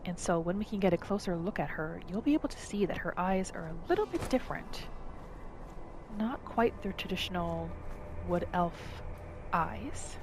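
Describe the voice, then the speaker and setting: A woman gently narrating a relaxing game roleplay in a soft, soothing manner. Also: fairly low pitch, expressive, normal speed, noisy background. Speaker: gameplay narrator. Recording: voice over loud game music.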